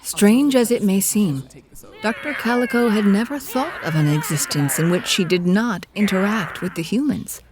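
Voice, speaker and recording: A woman's voice: mid-range voice, moderate pace, background noise, natural voice. A woman playing a wry, theatrical storyteller, narrating a scripted audio drama in a confiding, grandiose manner. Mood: wry, dramatic.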